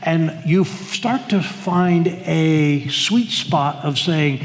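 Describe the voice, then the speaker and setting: Older male pastor, higher voice, earnest repetitive sermon delivery, long church-hall reverb. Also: slow. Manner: earnest, repeats words and phrases, leads congregation reading. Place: church sanctuary.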